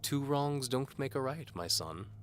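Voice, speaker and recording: Man acting a high-pitched, pompous, menacing bishop. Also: animated, a little slow, a little hiss or hum, lightly acted. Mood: smug, menacing. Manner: formal, condescending. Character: pompous, menacing bishop interrogating a prisoner, patronizing. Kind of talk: audio drama character acting.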